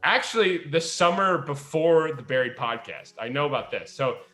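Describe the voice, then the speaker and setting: A man chatting casually and reminiscing as a podcast host, in an animated, storytelling way. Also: a little high, moderate pace. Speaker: podcast host and audio drama creator. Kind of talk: casual chat, reminiscing among friends. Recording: roomy echo, faint music behind.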